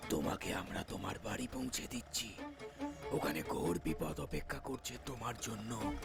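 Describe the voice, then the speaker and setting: A man voicing the solemn, protective ghost of a young man in a horror cartoon, speaking in an ominous, deliberate way. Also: mid-range voice, sing-song, vocal fry, over loud music. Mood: grave warning. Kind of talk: Bengali horror cartoon voice acting.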